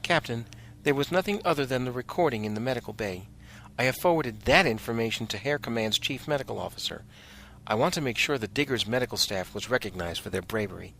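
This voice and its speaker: A man playing a lively, breezy rabbit ship's doctor in a sci-fi audio drama, brisk in manner. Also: medium pitch, gravelly, slight background noise. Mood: earnest.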